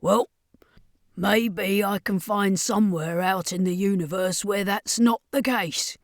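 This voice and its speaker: A woman voicing a world-weary but tender lady guardian in a narrated story, speaking with soft regret. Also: medium pitch, fairly even intonation, slow pace, no room sound, studio quality. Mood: regretful, resigned.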